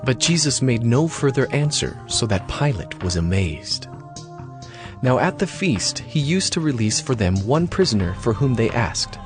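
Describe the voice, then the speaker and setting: A man narrates a dramatized scripture story at a middle pitch, solemn and scripted in style. Clear background music runs behind his voice. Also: very animated, normal speed.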